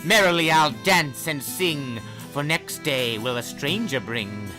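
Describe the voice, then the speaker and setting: A man voicing a gleeful, spiteful little imp from a fairy tale, with a theatrical, gloating, drawn-out delivery. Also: fairly high pitch, animated, music in the background, voice pushed up, poor audio. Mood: gleeful, sing-song.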